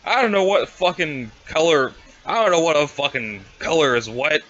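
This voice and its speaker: A man plays a laid-back, dim alien slacker in an amateur comic drama. He rambles in profane bro slang, with a lively, swooping pitch. The sound is a little dull and lo-fi. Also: normal speed. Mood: friendly, spaced-out. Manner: rambling, slangy, sweary stoner talk.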